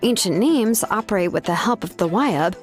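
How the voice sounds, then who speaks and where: A woman voices an easygoing, expert female blacksmith in a video game cutscene. Her mid-range voice moves with lively intonation, and she talks in an offhand way, dropping in little "uh"s and "mm"s. Game music plays clearly behind her. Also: medium pitch, normal speed, natural voice. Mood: explaining. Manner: relaxed and offhand, with frequent uh and mm. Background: game background music.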